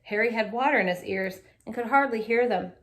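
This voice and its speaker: A woman reading a children's picture book aloud in an animated, expressive way, adding little asides for young listeners. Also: fairly high pitch, fairly quick.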